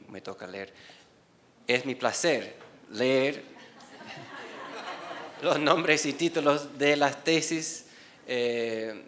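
A man's voice: medium pitch, fairly bright tone. A man in his forties who is not a native speaker, reading out award nominees from a panel table, hesitant with many fillers and restarts. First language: not Spanish. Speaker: award presenter. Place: university auditorium, seated at a panel table. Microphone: desk microphone on a stand.

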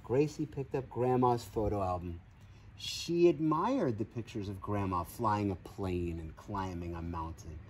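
A man in his forties reading a children's story aloud outdoors, expressive, with playful asides. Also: lowish voice, measured pace, a little hiss or hum. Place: outdoors on grass in a farm field.

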